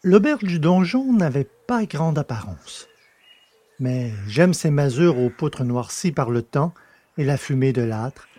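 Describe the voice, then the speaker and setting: Mellow male storybook narrator. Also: medium pitch. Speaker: audiobook narrator. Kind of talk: scripted literary narration.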